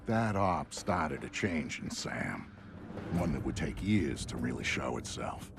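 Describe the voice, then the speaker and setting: Weary ex-soldier, deep, gravelly, loyal, dramatic game narration, music behind. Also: male voice, full character voice. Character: weary loyal ex-military friend narrating, middle-aged man. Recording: music under the voice.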